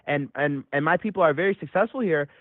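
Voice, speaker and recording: A caller from Metro Detroit arguing heatedly, his voice jumping high when he gets worked up. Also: fast talker, no room sound. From Metro Detroit, USA. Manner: excitable, voice jumps high when agitated. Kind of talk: heated call-in debate. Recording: tinny phone line.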